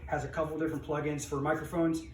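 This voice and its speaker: A man's voice: on the high side, level tone, fast. A middle-aged man giving a casual, unscripted walkthrough of a project as a vlogger, rambling along in a folksy way. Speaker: vlogger reselling auction finds. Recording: roomy, distant mic, background noise.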